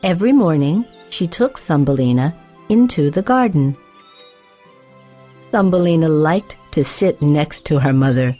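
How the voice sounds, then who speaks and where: A woman storyteller reading a scripted children's tale with measured, careful phrasing for young listeners. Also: sits low, expressive, mellow, soft background music.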